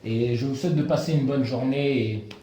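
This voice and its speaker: Guy asking polite interview questions, kinda hesitant, mellow tone, roomy echo. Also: medium pitch, fairly even intonation, fairly quick, slight background noise. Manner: formal, hesitant with fillers. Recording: echoey room.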